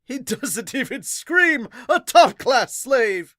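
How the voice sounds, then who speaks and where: A podcast host voicing a spoiled, vengeful young nobleman from a manga with a wailing, drawn-out delivery. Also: sits high, big pitch swings, bright, forceful tone, no echo, clean studio sound, pitched above the performer's own voice, heavily acted. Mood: gleeful shouting.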